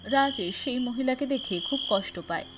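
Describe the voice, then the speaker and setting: A woman's voice: high voice, normal speed, background noise. A woman reading scripted narration for a cartoon fairy tale. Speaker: storyteller.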